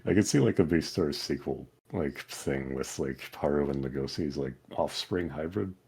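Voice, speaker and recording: A man's voice: extremely low pitch, normal speed, gravelly, darkish tone, slightly rough. A man chatting casually as a panelist on a podcast. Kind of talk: casual chat.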